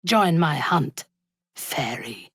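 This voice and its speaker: A woman voicing a ruthless, haughty dark elf warrior commander in a video game, speaking in an imperious, formal, menacing way. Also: low voice, expressive, very slow, slightly rough, dry recording, studio quality, exaggerated voice. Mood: cold, imperious.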